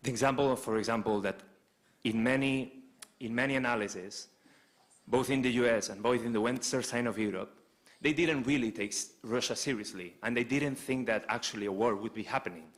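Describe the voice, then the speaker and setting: A young man from Spain, asking a polite, earnest question as a student, with halting restarts. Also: medium pitch. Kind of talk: audience question at a lecture Q&A.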